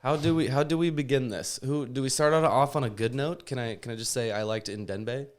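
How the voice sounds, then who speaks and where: A man's voice: medium pitch, fairly quick. A man hosting a podcast, chatting casually about sport. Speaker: podcast host. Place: in person around a table with several mics.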